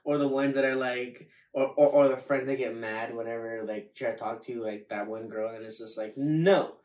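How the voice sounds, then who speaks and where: A man's voice: medium pitch, fairly even intonation, normal speed, darkish tone. A young man in casual banter, swearing freely, repeating himself and acting out imagined conversations. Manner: profane, repetitive, acts out imagined dialogue. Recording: echoey room, far from mic.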